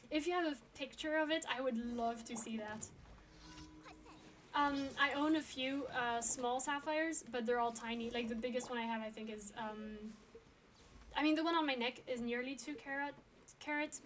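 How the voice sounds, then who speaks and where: A young woman from France talks to her stream chat in a relaxed, rambling way. Her voice sits high, and she fills pauses with ums and quick laughs. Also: a little hiss or hum. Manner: chatty, frequent ums and laughs. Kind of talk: casual chat with viewers.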